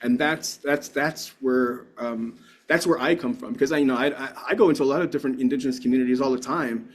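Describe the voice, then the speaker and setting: A man's voice: mid-range voice, quick pace. A man giving a keynote talk and answering audience questions in an earnest, rambling way with frequent restarts. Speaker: keynote speaker.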